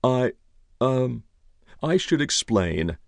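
A man voicing a flustered, embarrassed character who explains himself in a halting, defensive way, in an audiobook style. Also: mid-range voice, very animated, vocal fry, dry recording, pitched above the performer's own voice, full character voice.

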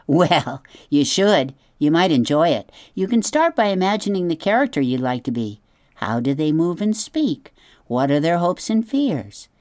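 A woman's voice: sits low, sing-song, a little slow, a little mellow. Woman putting on a showy theatrical opossum. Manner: gushing, theatrical.

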